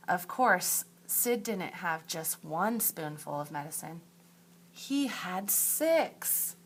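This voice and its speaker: Slow-paced woman teacher reading a storybook aloud. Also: medium pitch, a bit bright, slight background noise. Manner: deliberate, emphatic storytelling with asides to young pupils. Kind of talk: expressive picture-book read-aloud for second graders.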